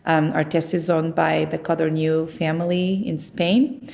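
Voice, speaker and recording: A woman's voice: low voice, level tone, measured pace, gravelly, a little mellow. A middle-aged woman from Portugal, talking conversationally about her work in an interview. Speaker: winemaker being interviewed.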